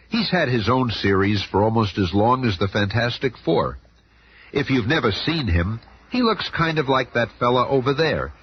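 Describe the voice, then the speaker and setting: A man's voice: mid-range voice, close to an everyday voice. A man performing as a chummy, showy comic-book narrator in a 1970s radio drama. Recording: lo-fi 1970s radio recording, faint noise.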